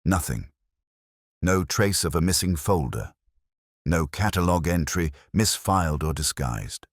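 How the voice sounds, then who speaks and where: Very deep male narrator, expressive pitch. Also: no echo, studio quality. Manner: measured, literary, reflective delivery. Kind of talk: scripted first-person detective story narration.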